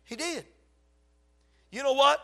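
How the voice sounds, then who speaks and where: High-voiced Southern preacher, slightly raspy. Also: male voice, fairly bright tone. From South Carolina, USA. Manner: folksy, emphatic storytelling with dramatic pauses. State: getting over a cold. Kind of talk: sermon to a congregation.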